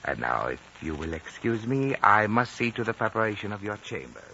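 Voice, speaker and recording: A courteous Chinese diplomat of a remote monastery, very deep and formal with a lively rise and fall. He chooses his words with ceremonious evasion, on a dull, noisy old radio recording. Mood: calm, polite. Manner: formal, measured, ceremoniously polite. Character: courteous, evasive Chinese male lamasery official, soft-spoken diplomat. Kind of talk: 1950s radio drama acting.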